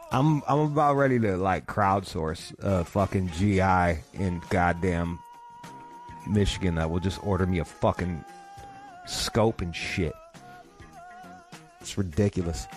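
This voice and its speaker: A man hosting a podcast from home, rambling through a casual, profane rant about his day. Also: deep voice. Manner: rambling, swears constantly, ranting. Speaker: podcast host.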